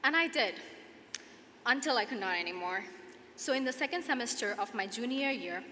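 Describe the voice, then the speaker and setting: Bright-voiced young Nepali-accented woman giving a speech. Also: mid-range voice. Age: 20s. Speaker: student commencement speaker. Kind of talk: prepared commencement speech. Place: large hall at a podium, audience ambience. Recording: echoey hall PA, clear background noise.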